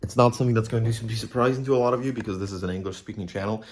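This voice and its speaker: Low-voiced young man. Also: racing, a little hiss or hum. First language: Polish. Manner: rambling, blunt, swears freely. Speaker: vlogger. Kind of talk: casual monologue to camera.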